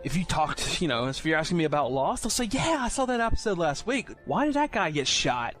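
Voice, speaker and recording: A man's voice: fairly high pitch, sing-song, fairly quick, fairly bright tone. Man explaining casually over music. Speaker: podcast segment presenter. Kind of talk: informal explainer for new players. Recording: music playing behind the voice.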